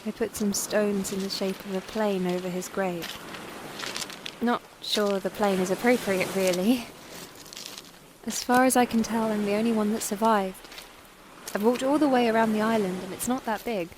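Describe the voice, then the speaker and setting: A woman playing a frightened, weary young plane-crash survivor in an audio drama monologue, confiding in a halting, plain-spoken, wryly understated way. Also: mid-range voice, fairly even intonation, close to an everyday voice. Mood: shaken, subdued. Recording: clearly noisy background.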